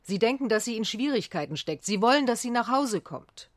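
A woman's voice: fairly high pitch, expressive, brisk, no echo, close to an everyday voice. An actress playing a no-nonsense female private detective in a radio drama, direct, businesslike and insistent.

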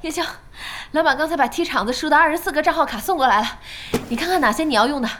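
A woman's voice: sits high, racing, a bit bright, a little hiss or hum. An actress playing a spirited, bossy young female internet cafe owner in a Chinese audio drama, speaking frankly and expressively. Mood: businesslike, casual.

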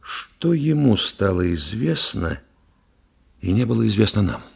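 An actor playing a thoughtful investigator in a radio play, measured and reflective, with a gravelly, husky voice. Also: male voice, fairly low pitch, sing-song, heavily acted. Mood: pondering. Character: thoughtful investigator pondering a theatre murder. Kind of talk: dramatic radio play dialogue.

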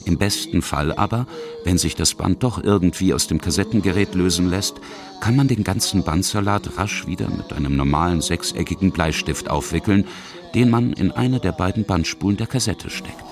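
A man's voice: sits low, normal speed, over music. A man reading scripted documentary narration as the narrator and interviewer of a feature.

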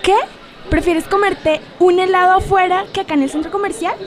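A woman's voice: very high voice, steady tone, a little fast. A woman playing a spoiled, posh, snobbish young woman in a radio soap, with a whiny manner and a Colombian accent. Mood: indignant, whiny. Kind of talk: radio soap opera acting. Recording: crowd chatter behind the voice.

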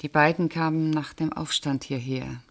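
A woman's voice: low voice, fairly even intonation, normal speed. An actress playing a precise, guarded woman witness answering questions in a German radio crime drama, with controlled delivery. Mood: earnest, guarded.

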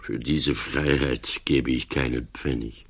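An aging police commissioner with an extremely deep voice. He speaks coolly and deliberately, with dry sarcasm, as in a radio play. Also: steady tone, full character voice. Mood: cool, sceptical. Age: older. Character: old, cool, wry police commissioner.

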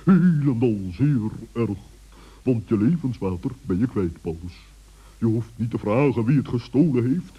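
A bossy old owl with a husky, breathy hoot. He is stern and pompous, a reproving wiseacre from an old children's radio play. The vintage recording is dull. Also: mid-range voice, animated. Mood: dismayed, scolding. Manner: pompous, reproving, drawn-out emphatic speech.

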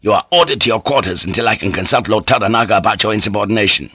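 Guy narrating as cold samurai lord, bossy clipped orders, lowish voice, dry audiobook sound. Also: very fast, bright, forceful tone, breathy edge, dry recording. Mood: cold demand. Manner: stern, imperious, clipped orders. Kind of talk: audiobook character voice.

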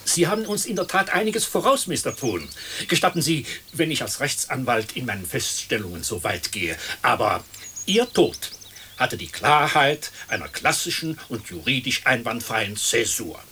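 A man's voice: medium pitch, very animated, normal speed, sharp tone, slight background noise. An actor playing a verbose dead lawyer in a radio play, pompous and ornate in his phrasing.

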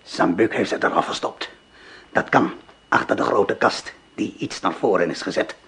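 An actor playing a hard, wary resistance plotter in a radio drama, speaking in a terse, probing, conspiratorial manner. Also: male voice, on the low side, moderate pace, fairly bright tone, breathy edge, exaggerated voice. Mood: tense, conspiratorial.

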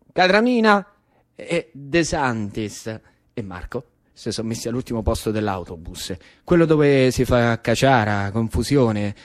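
A man with a Roman Italian accent performing a colloquial theatrical monologue for radio as an animated storyteller. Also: mid-range voice, no echo, studio quality. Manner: animated, colloquial, shifting between narration and characters. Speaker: actor and storyteller.

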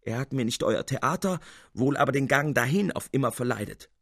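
A male reader plays a scrawny old composer from an Italian tale. He is vain, fussy and easily riled, with a creaky, husky voice recorded dry and close. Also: mid-range voice, lively intonation, fairly quick, fairly bright tone, no room sound, full character voice. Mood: smug courtesy. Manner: self-important, fussy, exclamatory.

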